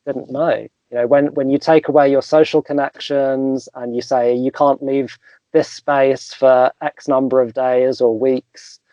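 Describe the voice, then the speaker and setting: A young man answers interview questions in a reflective, halting way, often restarting his sentences. His voice sits at a middle pitch. The sound is dull and dry, with no room around it. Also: flat delivery, mellow, no room sound. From England. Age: young adult. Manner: thoughtful, hesitant, many restarts and fillers. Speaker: therapeutic coach, guest. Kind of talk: casual interview chat.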